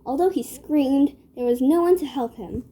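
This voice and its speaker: A woman narrating a children's story from a script in a measured read-aloud manner. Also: sits high, warm tone, a little hiss or hum, low-quality recording.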